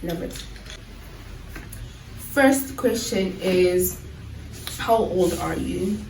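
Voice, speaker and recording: A woman's voice: fairly low pitch, very slow, a little mellow, slight background noise. A nineteen-year-old woman chatting casually in a vlog, laughing easily and often restarting her sentences. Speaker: YouTuber. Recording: echoey room, mic far off.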